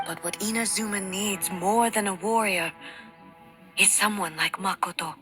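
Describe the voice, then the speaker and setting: An actress voicing a solemn, dignified warrior deity in a video game, with a formal, measured and resolute delivery. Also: female voice, medium pitch, fairly even intonation, music in the background, close to an everyday voice. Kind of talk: scripted video game voice acting.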